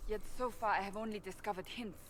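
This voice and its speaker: A woman voicing a proud, stubborn warrior in a fantasy game, speaking formally, earnestly and deliberately. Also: mid-range voice, steady tone, close to an everyday voice. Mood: serious, determined. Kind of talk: dramatic video game dialogue.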